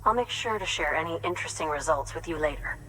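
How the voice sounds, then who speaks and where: An actress playing a studious, grateful scientist in a video game, speaking in a formal, measured, erudite way. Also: female voice, low-pitched, animated, pushed and bright, a little hiss or hum, low-quality recording. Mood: earnest, musing. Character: studious, grateful female scientist researching tar and extinction. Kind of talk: dramatic game dialogue, expository.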